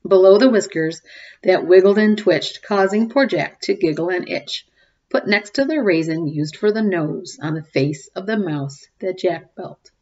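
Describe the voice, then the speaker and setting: A woman in her forties reads a picture book to small children in a slightly low voice. She takes it very slowly, stopping to ask questions and comment in an expressive, child-directed way. The sound is a little dull, as from a webcam in a room at home. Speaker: teacher reading aloud to young children. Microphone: webcam or laptop mic at desk distance.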